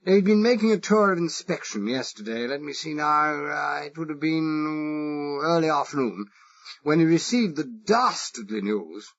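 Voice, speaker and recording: A man's voice: fairly high pitch, expressive, normal speed, a bit bright, voice pushed up. A man doing a dithering upper-class Englishman. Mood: flustered posh. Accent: exaggerated upper-class English. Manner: flustered, dithering, pompous. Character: posh Englishman feigning fussy secretary. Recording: dull lo-fi recording.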